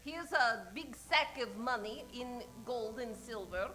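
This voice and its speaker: Slow, high-voiced femme fatale. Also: female voice, animated, music in the background. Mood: seductive. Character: vain, seductive foreign stage actress, femme fatale. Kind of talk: improvised old-time radio detective comedy.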